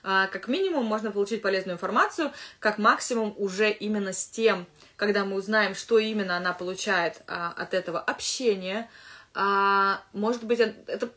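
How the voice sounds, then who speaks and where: A woman English teacher giving casual advice to fellow teachers, thinking aloud with lively asides. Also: mid-range voice, brisk.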